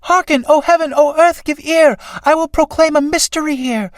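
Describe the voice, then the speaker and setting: A woman's voice: sits high, normal speed, dry recording, lightly acted. A woman playing a rapturous, grandiose newborn moth in an exalted, declamatory voice.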